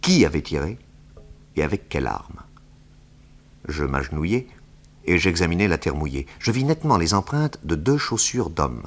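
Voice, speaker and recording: Casting: grave, deep detective narrator, precise first-person storytelling, vintage French radio drama. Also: male voice, big pitch swings, heavily acted. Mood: grave, suspenseful. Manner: measured, literary, precise. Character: composed male detective narrating his own adventure.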